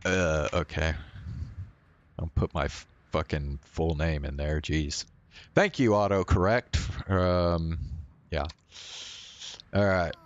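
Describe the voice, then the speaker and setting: Deep voiced guy streaming a visual novel, talks slow, kinda roomy sound, faint music behind. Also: dull audio, slight background noise. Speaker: streamer, host. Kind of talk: casual game stream chat and read-aloud narration of a visual novel. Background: faint game music.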